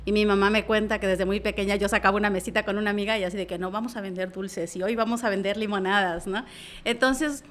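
A woman, a business consultant guest telling stories in a lively, chatty way with frequent tag questions. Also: mid-range voice, fast. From Mexico. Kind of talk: casual interview storytelling.

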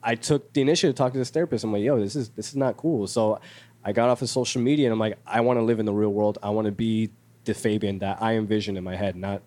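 A man's voice: fairly low pitch, steady tone, fast, warm tone. A young man in a podcast conversation, speaking openly and reflectively about his own struggles. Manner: open, self-reflective, candid. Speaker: podcast guest. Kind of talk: personal reflection in casual chat.

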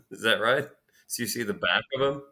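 A man's voice: lowish voice, normal speed, bright, forceful tone. A man guest on a podcast, chatting casually, hesitating and repeating words as he thinks. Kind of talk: casual chat.

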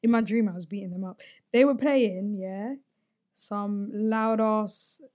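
A young woman chatting casually, giggling and animated, tired from not sleeping. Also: medium pitch, measured pace. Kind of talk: casual chat.

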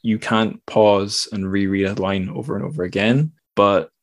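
Low-voiced man, rambling podcast host. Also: fairly even intonation, moderate pace. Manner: rambling, thinking aloud, self-deprecating. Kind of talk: casual literary discussion.

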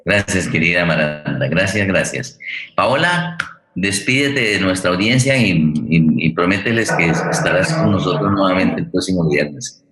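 Male Colombian host, low-pitched, roomy sound, spontaneous and halting delivery. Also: lively intonation. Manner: halting, repeats and rephrases words. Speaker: host of an online radio theatre forum. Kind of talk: spontaneous closing remarks and thanks.

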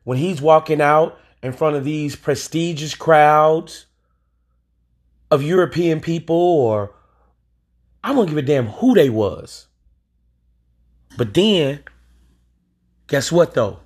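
A man's voice: fairly high pitch, animated, slow, pauses often, slightly rough. Emphatic man in his forties. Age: 40s. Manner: emphatic, opinionated, with occasional swearing. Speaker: podcast host. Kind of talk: solo podcast monologue.

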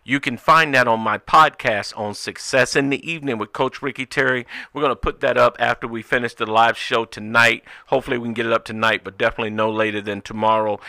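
A man's voice: medium pitch, sharp tone, dry recording. A man hosting live talk radio in a conversational, folksy way with emphatic phrasing. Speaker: radio show host.

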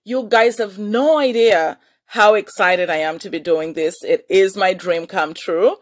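A woman, hosting a casual solo podcast as a chatty, animated storyteller. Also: medium pitch, a little slow. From Malawi. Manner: chatty, animated storyteller who laughs often. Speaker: podcast host.

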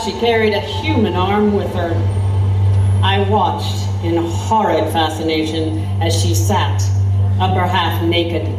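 A woman's voice: lowish voice, natural voice. Echoey Victorian woman reporter played by a woman recounting horrors. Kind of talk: dramatic scripted storytelling. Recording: echoey, distant, music underneath.

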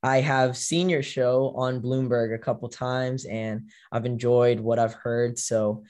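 A young man with an American accent presents a casual podcast show. His pitch is medium and stays fairly even, with little swing. The voice carries a slight hoarseness. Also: measured pace. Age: young adult. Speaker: podcast and college radio host.

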